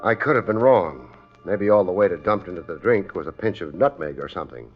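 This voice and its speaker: A man acting a wry, unflappable private detective with deadpan wit in a 1950s radio drama. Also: sits low, brisk, slightly rough, soft background music. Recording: dull old radio recording.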